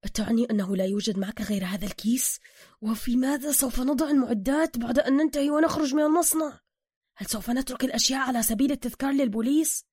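A woman's voice: fairly low pitch, racing, dark tone, no room sound, clean studio sound. A woman voicing a stern, exacting male masked vigilante who speaks formal, bookish Arabic in an audio story. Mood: irritated.

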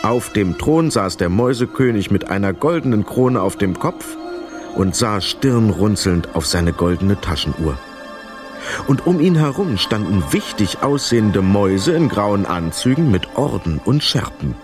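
A man's voice: on the low side, very animated, unhurried, music in the background. A man narrating a children's story as a scripted storyteller in a radio play. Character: storyteller of a children's mouse fairy tale.

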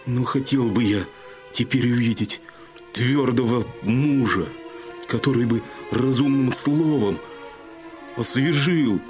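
A man acts a young Cossack facing death in an audio drama. He declaims slowly and haltingly, full of anguish and longing, with soft music behind him. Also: medium pitch, natural voice. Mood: resigned longing. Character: condemned young Cossack son, dying, longing for his father. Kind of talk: Russian audio drama acting.

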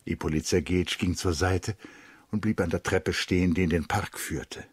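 A man giving measured, scripted literary narration for a radio play. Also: sits low, slightly rough.

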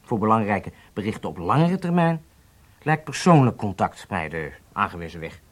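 An actor playing a strict, confident riding instructor in a radio drama, commanding and insistent. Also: male voice, fairly low pitch, very animated, moderate pace, no room sound. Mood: calculating, measured. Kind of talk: Dutch radio drama acting.

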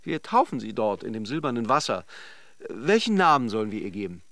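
A charming philanderer in a radio drama, playful and flirtatious, with a reflective side. His mid-pitched voice swings widely in melody and is recorded close and dry. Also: big pitch swings, a little fast, fairly bright tone, dry recording. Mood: teasing, flirtatious. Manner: teasing and joking, musing when alone. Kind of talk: radio drama acting in intimate dialogue and monologue.

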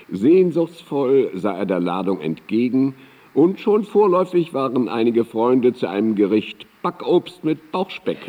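An actor playing a droll period raconteur in a radio drama, telling anecdotes in a formal, expressive, literary manner. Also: male voice, lowish voice, heavily acted. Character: male contemporary telling droll anecdotes about a philosopher. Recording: reverberant hall sound.

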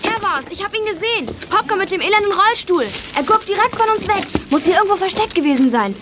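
A young-sounding female performer playing an excitable, indignant girl detective in a children's audio drama. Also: very high-pitched, quick pace, noisy background. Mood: agitated. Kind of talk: German children's radio play acting.

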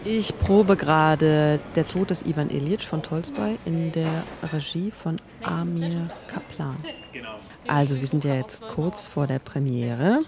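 A woman's voice: sits low, measured pace, creaky, crowd noise. A woman talking casually in an interview as a theatre performer, explaining and restarting sentences.

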